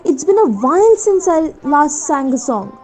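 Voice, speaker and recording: A woman's voice: sits high, very animated, a little slow, a little mellow, faint music behind. A woman playing a weary, peacemaking grown-up daughter in an amateur radio play, earnest and pleading.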